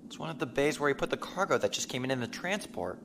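A stiffly official male officer of a space empire, played by an actor in an audio drama. His formal military speech moves widely in pitch over clearly audible background noise. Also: lively intonation, quick pace, fairly bright tone. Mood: uneasy deference. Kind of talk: science fiction audio drama acting.

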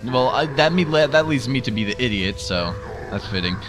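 A lively man with a swinging, mid-range voice over clear game music. He trades casual, teasing banter as a gaming co-host and throws in excitable interjections. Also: mid-range voice, normal speed, low-quality recording. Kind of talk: casual joking gameplay banter. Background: video game music.

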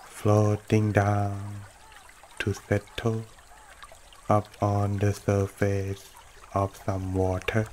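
Male meditation guide, low-pitched and extremely slow, gentle short phrases with long pauses, trickling stream behind. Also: completely flat delivery, a little hiss or hum. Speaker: meditation teacher. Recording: running water throughout. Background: trickling stream water.

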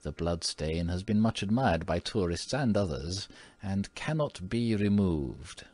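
A man voicing an old-fashioned elderly female housekeeper who speaks in a low, slow, mysterious voice. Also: lively intonation, darkish tone, no room sound. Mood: ominous. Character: old woman housekeeper, quaint and ominous.

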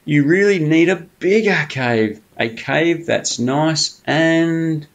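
A cunning storybook fox, read aloud by a man, pours on the flattery in a wheedling sales patter. The voice sits a little higher than the reader's own and keeps a gravelly edge. He sounds eager to close the deal. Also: takes it slowly. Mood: coaxing. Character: sneaky, cunning male fox, smooth-talking persuader.

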